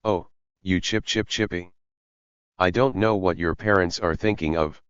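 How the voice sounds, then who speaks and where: A man's voice: low voice, a little slow, fairly bright tone, clean tone, no echo, lo-fi sound. A man voicing a cranky old male sparrow who scolds and stutters into chirps. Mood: scolding. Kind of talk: audiobook character voice.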